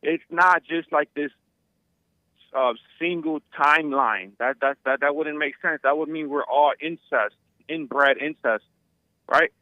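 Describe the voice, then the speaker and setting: A man's voice: a little high, unhurried, no room sound. A man calling in to a talk show, arguing insistently and repeating himself as he stumbles over words. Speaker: caller. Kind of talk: phone-in argument. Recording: tinny phone line.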